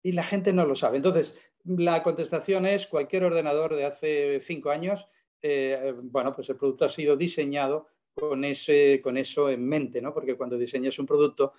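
Persuasive man, slightly high voice. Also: steady tone, moderate pace, darkish tone, highs cut off. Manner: emphatic, persuasive explaining with rhetorical questions. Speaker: guest presenting a product. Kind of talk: casual interview.

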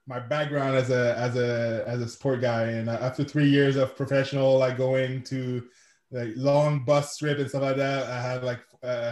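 A man's voice: mid-range voice, a little mellow, echoey room. A man from Quebec City with a Québécois French accent, French as his first language, talking casually as an interview guest with many restarts. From Quebec City, Canada. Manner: rambling, many restarts and fillers. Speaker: guest, sport founder and coach.

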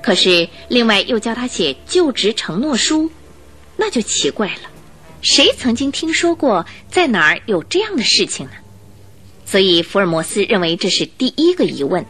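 A woman's voice: mid-range voice, very animated, moderate pace, fairly bright tone, breathy edge. A woman narrating a radio drama in a scripted, suspenseful storytelling style, posing questions expressively. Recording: faint music behind narration. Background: faint music.

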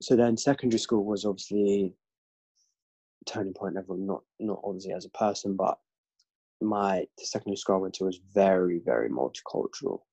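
A man's voice: deep voice, fairly quick, vocal fry, warm tone, husky, no echo. A young man from London with an English accent, a podcast guest reflecting earnestly in casual conversation. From London, England. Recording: dull lo-fi dry recording.

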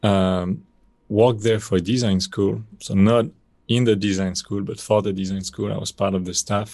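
A man's voice: lowish voice, normal speed, vocal fry, no room sound. A man in his thirties with a French accent, a podcast guest talking casually about his work, with many fillers. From France. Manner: relaxed, rambling, many fillers. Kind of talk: casual conversational interview.

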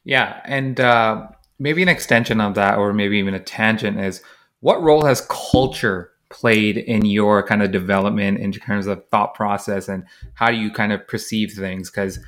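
A man's voice: on the low side, normal speed, a bit bright. A man hosting a podcast, asking long, hedging questions full of fillers. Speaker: podcast host. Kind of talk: interview questions.

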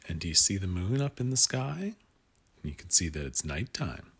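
A man reading a picture book aloud to young children, with gentle asides that guide the listener. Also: low voice, big pitch swings, moderate pace, husky.

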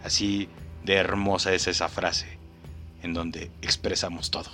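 A man's voice: sits low, flat delivery, a little slow, gravelly, sharp tone, soft background music. A man hosting a podcast, playfully and mock-solemnly commenting on clips and speaking directly to the listener. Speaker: podcast host.

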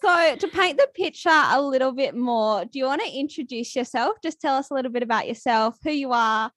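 A young woman co-host with a high voice. She keeps the conversation moving with friendly questions. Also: a little fast, a bit bright. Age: young adult. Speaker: podcast co-host. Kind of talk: casual interview.

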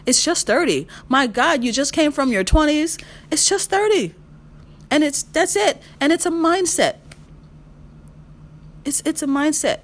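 A woman in her mid-thirties hosting a solo podcast, giving emphatic advice, repeating her key phrases and swinging her pitch when she makes a point. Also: animated, unhurried, pauses often. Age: 30s. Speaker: podcast host.

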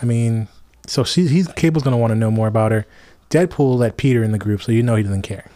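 Mellow laid-back man co-host. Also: mid-range voice, fast. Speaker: podcast co-host. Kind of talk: casual movie chat.

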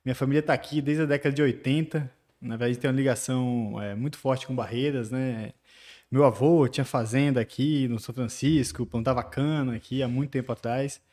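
A man talks as a guest on a casual podcast. His voice sits in an ordinary middle range. He tells his memories in a relaxed, rambling way and often adds little tag questions. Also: mid-range voice, brisk. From Bahia, Brazil. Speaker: guest, construction company chief.

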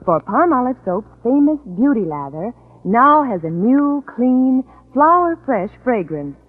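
A woman's voice: on the high side, very animated, measured pace. A woman announcing a soap advertisement in 1940s radio style. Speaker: commercial announcer. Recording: tinny old radio recording.

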